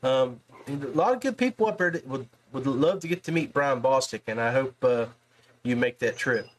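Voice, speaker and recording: A man's voice: medium pitch, expressive, normal speed. A man hosting a podcast, interviewing in a casual, folksy, chummy way and often saying man and brother. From West Virginia, United States. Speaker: podcast host interviewing anglers.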